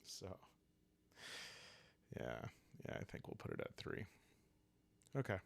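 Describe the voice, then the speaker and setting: A man calmly narrating a solo role-playing game in a hushed, relaxing way, musing aloud as he goes. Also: sits low, slow, gravelly.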